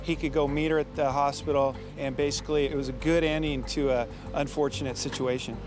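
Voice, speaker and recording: A man's voice: fairly high pitch, flat delivery, a little fast, music in the background. A man, a lifeguard, describing how a call ended in a plain, matter-of-fact way.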